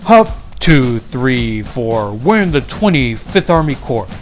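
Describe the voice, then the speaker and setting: A man's voice: medium pitch, very animated, slow, faint music behind. A man voicing a troop of proud insect soldiers, chanting a military marching cadence in a storybook. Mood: rousing, drilled.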